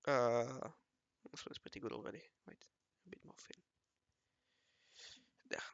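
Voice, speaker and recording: A man with a raspy voice talking casually to himself while he draws, mumbling and correcting himself as he goes. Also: mid-range voice, unhurried, no room sound, lo-fi sound. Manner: mumbling and self-correcting, often murmuring half to himself. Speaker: hobby artist narrating his drawing session. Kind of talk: casual think-aloud commentary while drawing.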